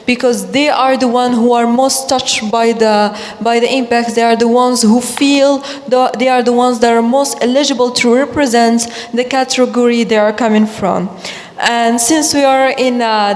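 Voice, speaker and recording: Young Moroccan woman, high voice, reverberant hall. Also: fairly even intonation, moderate pace. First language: Arabic. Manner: earnest, searching for words, many restarts. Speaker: panelist, youth climate ambassador. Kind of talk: panel discussion.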